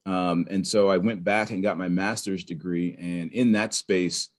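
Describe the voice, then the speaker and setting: A man from Pittsburgh, a podcast guest, telling his story in an animated, conversational way with frequent restarts. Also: deep voice, measured pace. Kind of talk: conversational interview.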